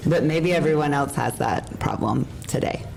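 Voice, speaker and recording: A middle-aged woman with a hoarse, worn voice, hosting a casual podcast and rambling openly. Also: low voice, moderate pace, a little mellow, a little hiss or hum, low-quality recording. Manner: rambling, emotional, laughs often. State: hoarse, lost voice. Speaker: podcast host. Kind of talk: casual chat. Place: room at an event hotel. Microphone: shared mic.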